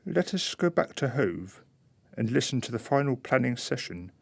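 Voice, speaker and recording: A man narrating a scripted radio play in a measured storytelling manner. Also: medium pitch, very animated, creaky, breathy edge, no echo.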